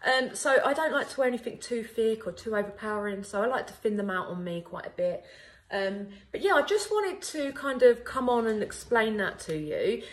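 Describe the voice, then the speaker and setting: A woman's voice: fairly high pitch, expressive, moderate pace. A woman in her thirties, a wig salon owner, chatting casually and upbeat to a phone camera in her salon. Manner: chatty and upbeat, frequent ums. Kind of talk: casual how-to video. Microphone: phone camera at arm's length.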